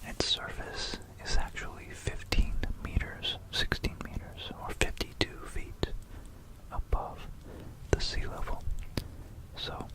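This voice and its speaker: An ASMR host whispering an educational history talk in an unhurried, explanatory manner, close to a stereo microphone. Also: poor audio. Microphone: close stereo binaural microphone.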